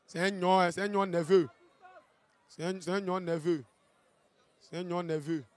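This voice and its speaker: A man with an Ivorian accent giving colloquial live fight commentary, excitable, repeating phrases and adding tag questions. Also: high voice, moderate pace, some chatter behind. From Ivory Coast.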